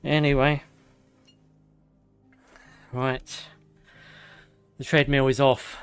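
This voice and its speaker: Hoarse streamer reading lore. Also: male voice, medium pitch, flat delivery, drawn-out words. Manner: sarcastic asides, swearing. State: hoarse, losing his voice; slightly out of breath. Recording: faint music behind.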